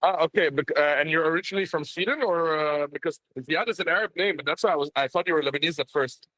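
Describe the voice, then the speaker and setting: A fairly high-pitched man talks casually on a call from a social event, with his camera off. He restarts his sentences often, and the sound is close and dry. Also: fast talker, sharp tone, dry recording, poor audio. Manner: chatty, stammering restarts. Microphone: phone on a call, camera off.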